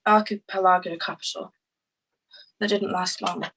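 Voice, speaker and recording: An actress in a screenplay table read playing an anxious, impatient woman whose partner was abducted, sharp-tongued and exasperated. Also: on the low side, little pitch movement, normal speed, a little hiss or hum, poor audio. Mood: bitter, weary.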